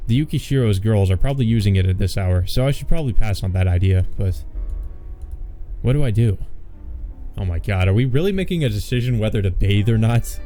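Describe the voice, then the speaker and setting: A man's voice: fairly low pitch, expressive, a little fast, dark tone. A man reading a visual novel aloud as a let's player, adding wry, offhand comments. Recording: faint music behind the voice.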